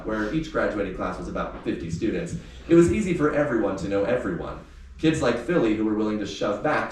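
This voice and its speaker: A man reading youth fiction aloud in an expressive, audiobook-like storytelling style. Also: lowish voice. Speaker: author reading aloud from a novel. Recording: echoey room, distant mic, faint noise.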